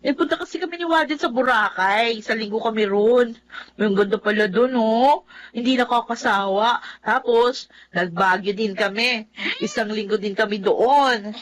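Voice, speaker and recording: Woman playing headstrong young lover, flippant, emotive radio drama delivery, slightly high voice. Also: moderate pace, natural voice, poor audio. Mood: smitten, cheerful. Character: headstrong, lovestruck young woman, defiant toward her family. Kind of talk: Filipino radio drama acting.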